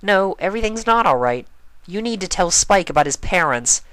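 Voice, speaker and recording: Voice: mid-range voice, lively intonation, normal speed, fairly bright tone, clear, resonant tone, dry recording, voice pushed up, lightly acted, poor audio. A storyteller voicing a bubbly but firm, caring young female pony, speaking in a direct, chirpy way. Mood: firm, serious.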